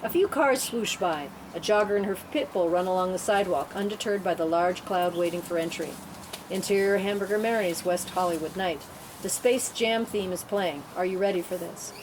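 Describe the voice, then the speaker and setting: A woman's voice: lowish voice, moderate pace. A woman narrator reading screenplay stage directions as scripted narration at a table read. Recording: clear background noise.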